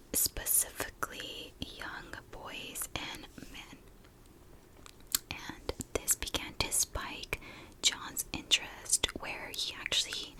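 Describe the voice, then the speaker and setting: A woman in a breathy whisper, telling a story in a chatty ASMR style, close to the microphone. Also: rough recording. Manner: chatty, conversational asides. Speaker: ASMR video host.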